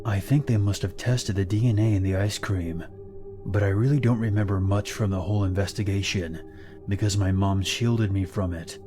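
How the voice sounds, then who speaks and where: A man calmly narrating true scary stories in a steady, scripted storytelling style. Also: fairly low pitch, normal speed. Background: background music.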